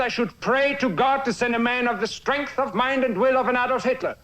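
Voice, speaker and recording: A man's voice: very high voice, a bit bright, rough recording. A man playing an impassioned defense lawyer, delivering an emphatic, oratorical courtroom speech in a dramatic film. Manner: oratorical, emphatic, rhetorical questioning. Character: impassioned male defense lawyer arguing before a tribunal.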